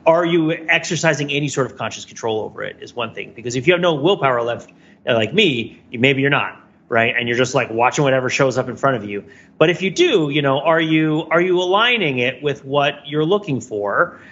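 A man's voice: mid-range voice, animated, a little fast, a bit bright, lo-fi sound. A man talking casually as a podcast co-host in a rambling, self-deprecating, wry way. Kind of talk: casual conversational podcast chat.

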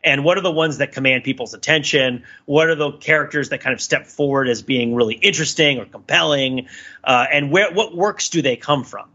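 A man speaking as a podcast panelist in casual, analytical discussion, animated and emphatic as he rambles through his points. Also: mid-range voice, fairly quick, lo-fi sound.

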